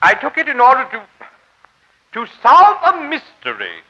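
An actor in a vintage radio drama playing a pompous, eccentric self-styled crusader for justice, with formal, florid diction. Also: male voice, very high-pitched, wildly swooping pitch, normal speed, bright, forceful tone, muffled recording, full character voice. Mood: lofty, self-important. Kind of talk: 1940s radio drama acting.

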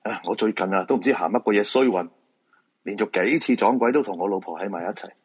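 Deep, husky, grumpy Hong Kong feng shui master, acted. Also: male voice, quick pace. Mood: weary. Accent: Hong Kong Cantonese. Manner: gruff, dismissive, colloquial. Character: weary, grumpy male feng shui master who sees ghosts. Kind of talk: live Cantonese radio drama acting.

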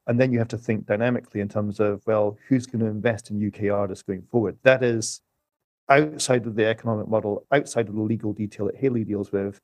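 A man's voice: mid-range voice, a little mellow, dry recording. A man speaking as an economist guest on a podcast, explaining things step by step with emphasis.

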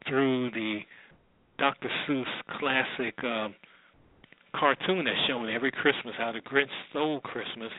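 A man's voice: medium pitch, no room sound. Guy hosting a radio show, chatty folksy explaining, no script, dry kinda dull lofi sound. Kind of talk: casual unscripted show introduction.

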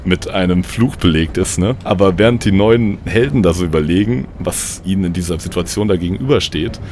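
A man's voice: low voice, a little fast, darkish tone, a little hiss or hum. A man narrating a comic audio play as host, with a playful, mock-dramatic storytelling manner.

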